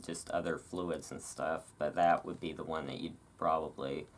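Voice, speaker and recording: A host doing a relaxed ASMR-style reading aloud, breaking off into informal, musing asides. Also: rumbling low, normal speed. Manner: informal, chatty, musing asides between read passages.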